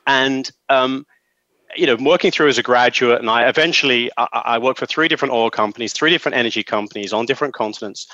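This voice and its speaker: A man's voice: mid-range voice, fast talker, no echo. A man in his forties with a London accent, heard over a dry, lo-fi line. He recounts his career as a podcast guest, stammering and restarting his sentences. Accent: London English. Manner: stammering restarts, animated storytelling. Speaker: guest, sustainability executive. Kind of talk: interview answer.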